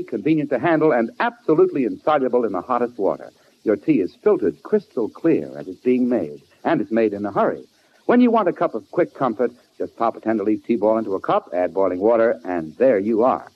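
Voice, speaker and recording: Lively male 1940s radio announcer. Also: medium pitch, a little fast, slight background noise. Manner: polished, emphatic salesmanship. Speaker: commercial announcer. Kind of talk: 1940s radio advertisement, scripted pitch. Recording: muffled 1940s radio broadcast.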